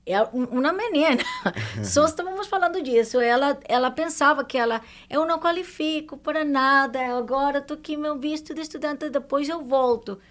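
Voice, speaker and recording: A woman guest with a fairly high voice speaks in a podcast conversation. She is a non-native speaker with a foreign accent, and she often pauses to search for words. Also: fairly bright tone. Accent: foreign accent in Portuguese. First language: non-native Portuguese speaker. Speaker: guest immigration professional.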